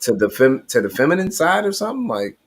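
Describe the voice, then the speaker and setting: A man hosting a casual panel talk, putting his thoughts and questions forward in a halting way. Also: fairly high pitch, very animated, breathy edge. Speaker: podcast host.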